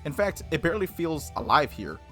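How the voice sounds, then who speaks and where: A gaming host reading visual novel text aloud and commenting on it casually, in an animated, playful, slangy way. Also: medium pitch, brisk, over music.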